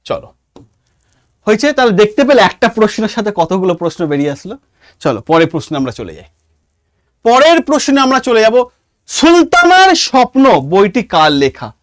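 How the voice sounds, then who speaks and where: A man's voice: sits high, sing-song, normal speed, fairly bright tone, no room sound, clean studio sound. A man with a Bengali accent teaching an online exam-prep class, talking informally to students and repeating points emphatically as if dictating.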